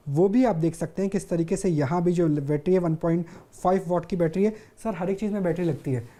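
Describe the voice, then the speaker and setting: A woman's voice: medium pitch, mellow. A woman presenting TV news in a live demonstration style, explaining step by step with emphatic repetition.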